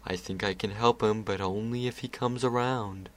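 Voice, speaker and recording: A man voicing a lazy, sardonic male chaos spirit with a calm, wry drawl. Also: on the low side, normal speed, natural voice.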